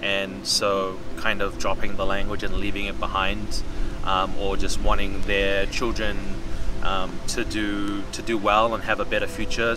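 Low, even-toned young man outdoors. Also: noisy background. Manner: halting, thoughtful, casual slangy phrasing. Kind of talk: reflective personal interview, casual speech. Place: outdoors in a city park. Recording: distant mic outdoors, music underneath.

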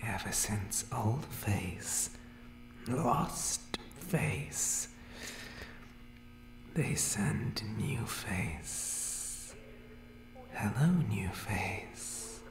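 Monster barber for horror ASMR: obsessive creature, whispered broken phrases, very slow delivery, roomy echo. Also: male voice, lowish voice, lively intonation, heavily acted. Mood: eerie, curious. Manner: broken, clipped speech with repeated words. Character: monstrous male barber creature from dark places, obsessed with cutting.

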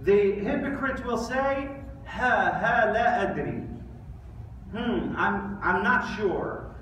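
A man in his thirties giving an Islamic sermon in an emphatic, deliberate way, with rhetorical questions and pauses. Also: sits high, lively intonation, fairly bright tone. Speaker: preacher giving an Islamic lecture. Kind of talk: sermon with quoted Arabic recitation. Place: large mosque prayer hall, indoors. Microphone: distant camera or phone. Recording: echoey hall from far off, faint noise.

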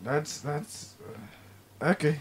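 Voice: medium pitch, fairly even intonation, slow pace, over a noisy crowd. A young adult hosting a casual chat, slangy and teasing with the guests. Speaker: host.

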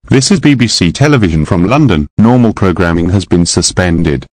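A television continuity announcer, a man with a deep voice, reads a formal scripted notice. The sound is very dry and close, free of room. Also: animated, moderate pace, a little mellow, no echo.

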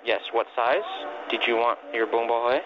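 Brief: calm restaurant worker on the phone, mid-pitched voice, slightly muffled line, patient and courteous. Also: male voice, normal speed, soft background music. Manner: patient, polite, repeats questions. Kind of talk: phone conversation.

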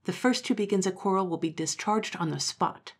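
A woman's voice: medium pitch, a little fast, slightly rough, natural voice. A woman voicing a young male hero who leads an animal army, speaking in a firm, commanding way. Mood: stern.

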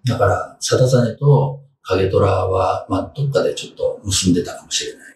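A man in his sixties giving conversational explanations as a guest expert, often pausing as he reasons aloud. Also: deep voice, creaky, darkish tone. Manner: halting, frequent pauses while reasoning aloud. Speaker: guest historian. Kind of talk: explanatory interview answers, conversational. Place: small indoor room, seated at a table. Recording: roomy, distant mic, faint noise.

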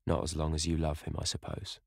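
A man's voice: low-pitched, fairly even intonation, a little fast, no room sound, clean studio sound, close to an everyday voice. A man voicing a sullen, hot-tempered sixteen-year-old lad, blunt and protective, as an audiobook character. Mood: grim, threatening.

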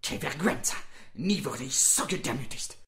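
Casting: actor as proud Mexican stable hand, wronged bastard son, mid-pitched male voice, hot-tempered, audio drama. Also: expressive, normal speed, fairly bright tone, reverberant. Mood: humiliated fury. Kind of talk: German audio drama acting.

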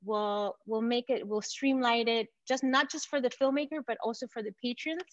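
A woman from Venezuela presenting her company in an enthusiastic, confident startup pitch. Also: fairly even intonation, normal speed, lo-fi sound. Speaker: company founder pitching to investors.

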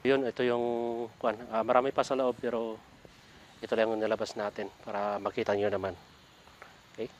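A man's voice: lowish voice, flat delivery, brisk, long pauses, a little hiss or hum. Filipino man chatting outdoors on camera. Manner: chatty, reading out titles with frequent fillers. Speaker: vlogger sorting donated library books. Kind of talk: casual vlog talk mixing English and Tagalog. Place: outdoors beside a building.

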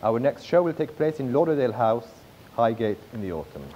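A man in his thirties presenting a business pitch from a script on stage, with occasional slips and restarts. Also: animated, a little fast, muffled recording, a little hiss or hum. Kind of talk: read-aloud business pitch. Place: large theatre stage.

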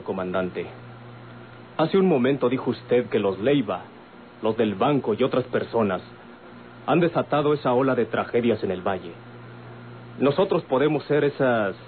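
A man performs an earnest Mexican character from an old radio serial. He is polite and formal, explaining insistently at a middling pitch. The recording is thin and band-limited. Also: phone-quality sound, a little hiss or hum, lightly acted. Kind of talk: vintage Mexican radio drama acting.

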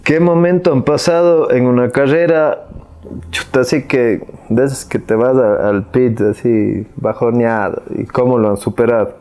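A man in his thirties with an Ecuadorian Spanish accent, hosting a casual podcast chat with slangy, relaxed questions. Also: medium pitch, normal speed. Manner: slangy, laid-back questioning. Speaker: podcast host. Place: small cozy studio room. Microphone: lapel mic.

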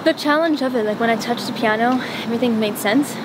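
A young woman from Montreal chats as she strolls down a busy sidewalk, rambling and playful, full of "like" and "um". Her voice sits a little high. Loud traffic and city noise roar around her close camera mic. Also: fast. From Montreal, Canada. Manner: rambling, playful, many fillers. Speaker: singer guest. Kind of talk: casual interview chat. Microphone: handheld camera close up, walking.

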